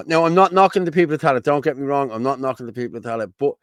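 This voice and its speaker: A man's voice: medium pitch, animated, fairly quick, smooth, dry recording, studio quality. An Irish man co-hosting a casual livestream, rambling and repeating himself as he chats and reads out viewer comments. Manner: rambling, repeats "you know what I mean". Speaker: co-host.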